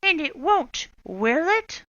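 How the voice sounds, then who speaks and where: A woman's voice: sits high, very animated, slow, a bit bright, no echo, muffled recording, pitched above the performer's own voice, exaggerated voice. Viola Swamp, a scratchy-voiced witch teacher. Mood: menacing. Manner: barking, threatening. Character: scratchy, bossy witch-like female substitute teacher.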